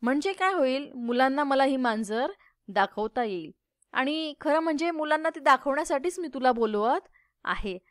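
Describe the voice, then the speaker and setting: A woman's voice: high voice, animated, moderate pace, no room sound, clean studio sound. Woman reading a pushy cat-obsessed landowner. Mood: coaxing. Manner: self-absorbed, insistent, ignores the other speaker.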